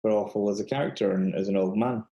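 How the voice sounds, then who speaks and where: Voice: deep voice, fast, dark tone, roomy sound. A speaker in casual film chat, making points emphatically and repeating them for emphasis.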